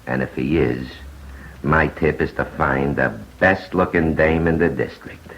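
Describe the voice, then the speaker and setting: A man playing a cynical, tough-talking underworld informant with scornful, slangy delivery and a gravelly voice in an old radio crime show. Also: a bass voice, a little slow, fairly bright tone, slight background noise. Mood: scornful, hard-boiled. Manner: slangy, contemptuous, hard-boiled. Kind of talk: 1950s radio crime documentary monologue. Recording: muffled old radio broadcast.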